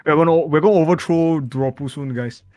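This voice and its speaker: A man's voice: a little high, a little fast, a little mellow, dry recording, muffled recording. A man bantering casually on a podcast in a playful, joking way. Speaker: podcast co-founder and guest.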